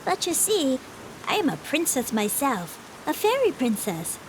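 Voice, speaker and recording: A woman's voice: sits high, huge pitch swings, unhurried, background noise, voice pushed up, exaggerated voice. A woman voicing a solemn, pale little girl in a storybook reading, speaking earnestly and precisely. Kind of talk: audiobook reading.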